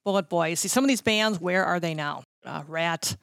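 A middle-aged American woman reminiscing casually in a chatty, halting way that often trails off. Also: lowish voice, vocal fry, a bit bright, smooth, dry recording, studio quality. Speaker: guest recounting concert backstage stories.

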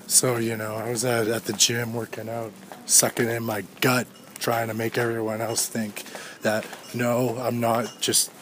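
Male podcast host, thirties, sardonic profane rambler, mid pitch, recorded walking outdoors amid heavy traffic noise. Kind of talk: solo rambling podcast rant. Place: outdoors on a street. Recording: street noise with passing traffic.

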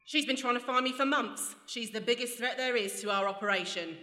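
A ruthless, cocky criminal schemer. Her voice is slightly high with a sharp bright edge. She mocks breezily, and the sound rings in a reverberant live hall. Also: a little fast. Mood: gleeful scheming. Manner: breezy, mocking. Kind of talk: radio play acting. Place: stage before a live audience.

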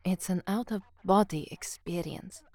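An actress playing a weary young woman newsreader in an audio drama, with a measured, subdued delivery. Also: fairly low pitch, darkish tone, no room sound. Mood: weary, introspective. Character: weary young woman newsreader narrating her own dystopian life. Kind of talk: first-person audio drama narration and newsreading.

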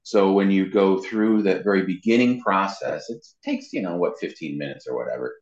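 A man's voice: low-pitched, moderate pace, a little mellow, reverberant, lo-fi sound. A man giving casual, explanatory answers as an interview guest, stressing his points by repeating them. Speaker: interview guest, web marketing consultant and voice actor.

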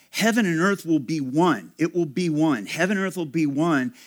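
A man preaching a sermon to his congregation, earnest and emphatic, speaking straight to his listeners. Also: high voice. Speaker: pastor.

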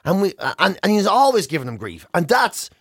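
A man's voice: high-pitched, big pitch swings, fairly quick, breathy edge, no room sound, clean studio sound. An Irish man hosting a radio show, telling comic stories in casual banter and acting out imagined dialogue. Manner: acts out imagined dialogue in anecdotes. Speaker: radio and podcast host.

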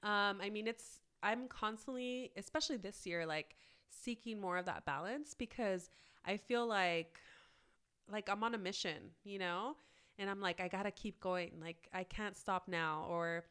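A woman guest on a podcast, talking casually with lots of "like" fillers, her voice raspy from a cold. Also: mid-range voice, a little slow, a bit bright. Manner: chatty, many "like" fillers. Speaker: guest, plant-based cookbook author.

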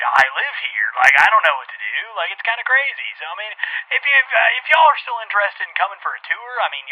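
Casting: actor as a slangy, rambling realtor, slightly high voice, dull phone sound. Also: male voice. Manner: rambling, full of "like" and "uh". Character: young male realtor, laid-back and rambling.

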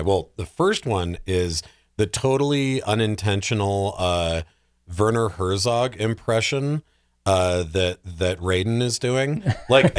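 A man in casual film discussion with a wry, animated way of talking, acting out imagined remarks. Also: medium pitch.

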